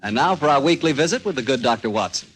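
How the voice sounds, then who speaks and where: Animated man announcing on vintage radio. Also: mid-range voice, fast talker, breathy edge, soft background music. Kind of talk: 1940s radio announcing, scripted chat. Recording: noisy old 1940s radio recording.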